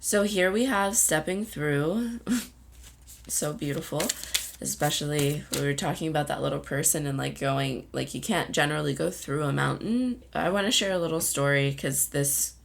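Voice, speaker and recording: A woman hosting a spiritual card reading in a gentle, meandering way, often searching for words, with a voice that keeps going in and out. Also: sits low, normal speed, gravelly, a little hiss or hum. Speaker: intuitive card reader and channel host.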